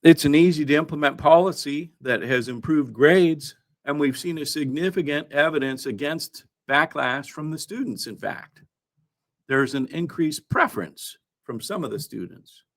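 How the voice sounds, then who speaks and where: A man hosting a news countdown, part reading and part ad-libbing his commentary in a halting way, with wry asides. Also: fairly high pitch, expressive. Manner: halting, with frequent throat clearing and wry asides.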